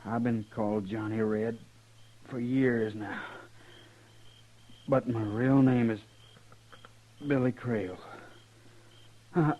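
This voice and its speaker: An actor playing a fatally wounded young outlaw in a radio Western, speaking haltingly in a weak, labored rustic drawl, bitter but resigned. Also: male voice, mid-range voice, fairly even intonation. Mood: weary, resigned. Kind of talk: old-time radio Western acting. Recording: muffled, noisy old radio recording.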